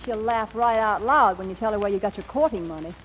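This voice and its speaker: An actress playing a brisk, sharp-witted wife and secretary in an old radio comedy, with short pointed retorts. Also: female voice, mid-range voice, husky, close to an everyday voice. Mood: brisk, cool. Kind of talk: 1940s radio comedy acting. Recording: dull, lo-fi old radio recording.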